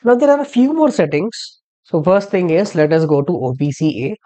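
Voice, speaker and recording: A software instructor narrating a step-by-step tutorial in an explanatory way, posing questions and then answering them. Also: medium pitch, expressive, normal speed. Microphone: screen-recording voice-over.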